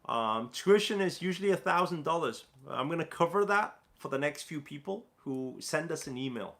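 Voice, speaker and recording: A man from Newcastle hosts a casual livestream, reading out viewer comments and answering them. His voice is gravelly with a bright, forceful edge. He talks loosely, with lots of fillers and restarts. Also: on the high side, expressive, moderate pace. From Newcastle, England. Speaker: livestream host.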